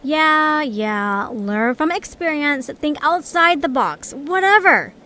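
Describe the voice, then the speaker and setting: A woman's voice: high voice, expressive, slow, background noise. A woman voicing a bossy, sardonic young adventurer in an amateur audio drama, dismissive in manner.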